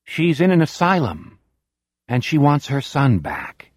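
Narrator reads a stern man, close to his own voice, firm and husky, dry. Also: a little high, sing-song, a little slow, dry recording. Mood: insistent. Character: stern grown man confronting a villain.

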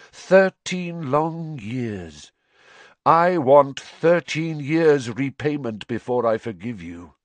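A cold, cruel dark lord, as a man puts him on, speaking softly with measured, lazy menace. His voice sits a little raised and hushed, rising and falling a lot across each line, in a dry storybook reading. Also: mid-range voice, no echo, voice pushed up.

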